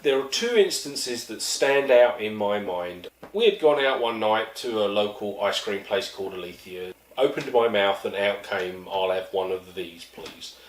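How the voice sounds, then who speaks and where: A middle-aged man from East London, with an East London accent, telling wry, self-deprecating stories in a casual monologue. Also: fairly low pitch. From East London, England. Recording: echoey room, mic at a distance.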